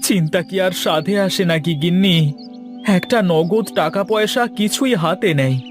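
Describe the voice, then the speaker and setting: A man voicing a village shopkeeper and husband in a cartoon moral story, with a plaintive, drawn-out delivery. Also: sits high, soft background music, natural voice. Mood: gloomy. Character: despondent village shopkeeper brothers, husbands who lost everything.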